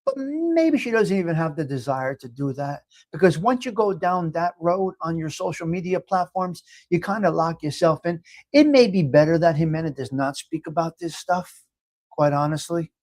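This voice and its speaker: A man's voice: a little high, expressive, normal speed, darkish tone. A man with a New York accent hosting a casual live chat show, talking emphatically, often repeating himself and restarting. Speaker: livestream host. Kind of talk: casual live chat, reading viewer comments aloud.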